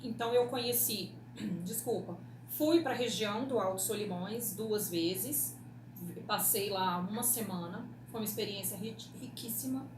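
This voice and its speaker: A woman's voice: medium pitch, a little slow. A Brazilian woman radio producer giving reflective, casual interview answers. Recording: echoey room, clear background noise.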